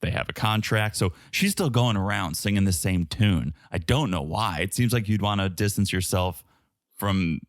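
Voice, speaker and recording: A man's voice: on the low side, normal speed, no echo. A man with a Southern American accent co-hosting a reality TV podcast in casual chat, with dry asides. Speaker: podcast co-host.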